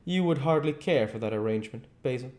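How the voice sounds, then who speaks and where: A man voices an idle, witty aristocrat who drawls out his remarks. The voice is pitched well up and airy, with lively swings. The tone is dark and mellow. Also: fast, natural voice. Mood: amused. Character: witty, languid aristocratic gentleman.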